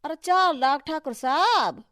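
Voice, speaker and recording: A woman's voice: sits high, expressive, unhurried, fairly bright tone, dry recording, studio quality, pitched above the performer's own voice. A woman voicing an anxious, pleading townsman in a Hindi cartoon moral story, flustered and beseeching. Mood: alarmed.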